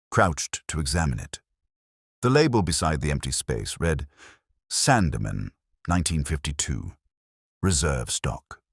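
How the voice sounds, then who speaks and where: A man narrating a detective mystery in the first person as an ageing, contemplative detective, in a measured, literary, reflective manner. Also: very deep voice, husky, dry recording, clean studio sound. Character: ageing male detective, melancholy, contemplative, world-weary.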